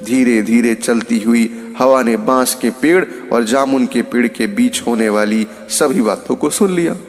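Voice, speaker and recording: A man tells a scripted moral story in the role of storyteller. His voice sits at a middle pitch. It carries noticeable reverb, and music plays behind it. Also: a little slow.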